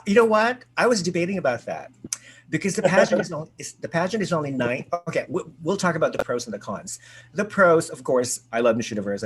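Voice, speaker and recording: A man hosting a casual group video call, chatty and excitable, with lots of fillers and restarts. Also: fairly high pitch, a little hiss or hum. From United States. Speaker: host.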